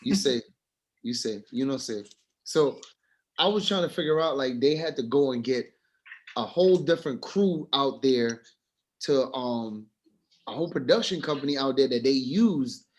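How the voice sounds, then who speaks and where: A man's voice: medium pitch, expressive, normal speed, a little hiss or hum. A man in a casual group discussion, speaking informally and asking questions as a student of film.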